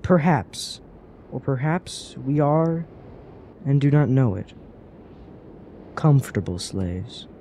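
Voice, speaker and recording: An actor playing a thoughtful, questioning adventurer in a fantasy radio drama, earnest and persuasive, with formal phrasing. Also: male voice, medium pitch, animated, takes it slowly, pauses often, mellow, a little hiss or hum. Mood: pondering.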